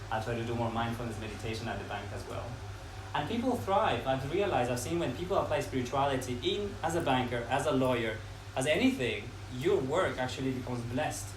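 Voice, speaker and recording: A man in his thirties from Ecuador, giving an earnest, unhurried talk to a live audience and listing ideas one by one. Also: mid-range voice. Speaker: speaker at a talk. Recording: echoey room from far off, noisy.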